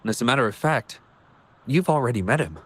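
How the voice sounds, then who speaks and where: A man's voice: medium pitch, expressive, quick pace, fairly bright tone. A man voicing an earnest, caring young male researcher in a video game. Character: earnest young male researcher worried for an estranged childhood friend.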